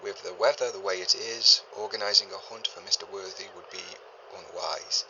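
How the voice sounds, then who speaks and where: An actor playing a learned 1930s archaeologist, a man recording a formal, deliberate journal in grave and uneasy tones for an audio drama. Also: low voice, steady tone, bright, forceful tone, muffled recording, a little hiss or hum. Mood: shaken, worried. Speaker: expedition leader keeping a recorded log. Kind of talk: scripted audio drama monologue, spoken journal.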